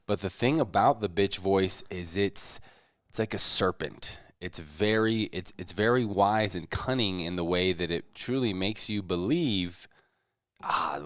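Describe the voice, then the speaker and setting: A man co-hosting a motivational podcast, speaking conversationally and building his points deliberately through repetition. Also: deep voice, a bit bright, clean tone, no echo. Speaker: podcast co-host.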